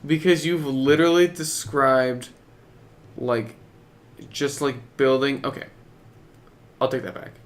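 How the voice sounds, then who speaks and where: A young man co-hosting a podcast, chatting casually in a halting, skeptical manner. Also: medium pitch, steady tone. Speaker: podcast co-host. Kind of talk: casual chat about video games.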